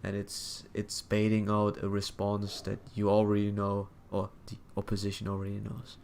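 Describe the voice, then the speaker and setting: A man giving casual commentary as a streamer, halting and repeating his phrases as he thinks aloud. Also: fairly low pitch, monotone, gravelly, darkish tone, slight background noise. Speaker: streamer reacting to another stream.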